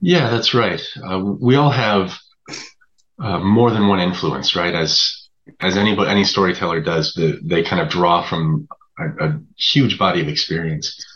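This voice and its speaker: Really deep voiced guy explaining stuff in an interview, halting, audio a bit dull. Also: a bit bright. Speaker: writer, interview guest. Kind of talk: conversational explanation.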